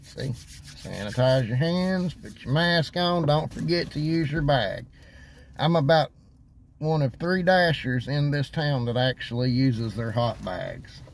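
A man's voice: mid-range voice, unhurried, creaky. A man in his thirties, casually talking to camera from inside his car, giving plain, practical tips. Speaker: delivery driver vlogger. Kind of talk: casual vlog talk to camera. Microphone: phone camera on dashboard. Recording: loud car and street noise behind.

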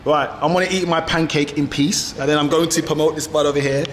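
A man's voice: a little high, steady tone, fast talker, slight background noise. A man, vlogging with playful, teasing banter in a busy cafe, filming himself on a handheld camera. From England. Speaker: travel vlogger. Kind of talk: casual joking vlog chat. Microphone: handheld action camera at arm's length. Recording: crowded cafe with long reverb.

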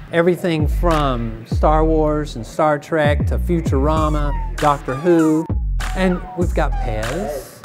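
An older man giving an informal guided tour as a museum curator. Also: on the high side. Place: indoor toy museum. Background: music.

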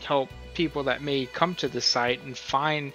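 A man's voice: medium pitch, steady tone, unhurried, bright, forceful tone, soft background music. A man talking informally and in a rambling way, as the host of a casual tutorial. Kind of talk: casual screen-recorded tutorial talk. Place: voice-over on a screen recording.

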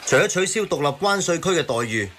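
A well-informed man in a Hong Kong Cantonese radio drama. He explains things with a very varied intonation at middle pitch, over slight noise. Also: lively intonation, fast talker, fairly bright tone. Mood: explanatory.

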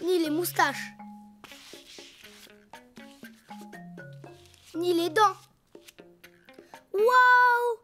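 A very high, childlike voice for a little donkey boy in a preschool cartoon. He speaks slowly in playful, singsong phrases full of exclamations, cheerful and imaginative, with music playing behind him. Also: lively intonation, bright, forceful tone, full character voice. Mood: excited, pleased. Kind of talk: children's cartoon dialogue, talking to himself and viewers.

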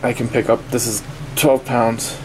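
A man giving a casual, halting, offhand unboxing review at a desk in a small indoor workspace. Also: mid-range voice. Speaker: product reviewer. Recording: steady noisy room ambience.

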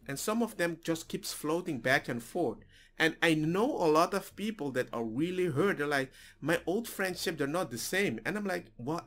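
A man's voice: a little high, a bit bright. A man in his forties whose first language is Papiamento, musing casually in a reaction vlog from a small home room, with restarts and slips. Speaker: reaction video host. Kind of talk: casual reflective monologue. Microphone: headset.

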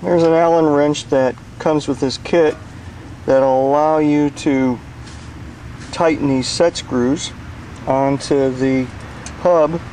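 A middle-aged man working as an HVAC technician, explaining a repair step by step in a plain, unhurried way with many pauses, recorded outdoors beside an air conditioning unit. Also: a little high, steady tone, warm tone, slight background noise, poor audio. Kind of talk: hands-on repair tutorial.

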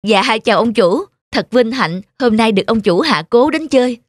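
A man's voice: extremely high pitch, lively intonation, normal speed, no room sound. A man playing a meek, kind young farm servant in a cartoon folk tale, humble and hesitant. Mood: surprised, polite. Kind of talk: animated folk tale acting. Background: music.

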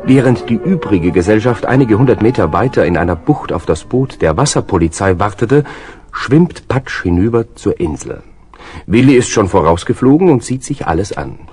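A man narrating a children's radio play as a calm, scripted storyteller. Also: on the low side, normal speed, faint music behind, natural voice.

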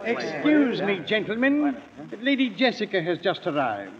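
High-voiced formal butler. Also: male voice, big pitch swings, unhurried, a crowd in the background, full character voice. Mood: deferential. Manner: stiffly formal, deferential. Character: formal, deferential British butler of a castle. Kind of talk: 1940s radio acting. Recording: dull old radio recording.

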